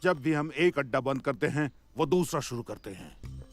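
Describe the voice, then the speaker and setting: A man voicing a middle-aged, jovial but stern police chief in a Hindi cartoon dub, expressive and authoritative. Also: mid-range voice, normal speed, dry recording. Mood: serious, warning. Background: music.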